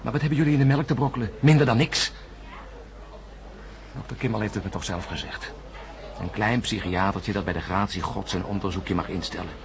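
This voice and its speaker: An actor playing an embittered, suspicious astronaut in a science-fiction radio drama, emphatic and sardonic, with brooding hesitations. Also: male voice, fairly low pitch, fairly quick, crowd noise, close to an everyday voice. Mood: bitter, agitated. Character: embittered, suspicious male astronaut insisting on his alarming sighting.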